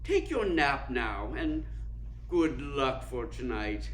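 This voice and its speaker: An actor playing a suave, cynical and domineering theater critic in a radio play, speaking in a cold, precise, imperious way. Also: male voice, mid-range voice, big pitch swings, slow. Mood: controlling, smug. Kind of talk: dramatic radio play acting. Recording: roomy echo with clear background noise.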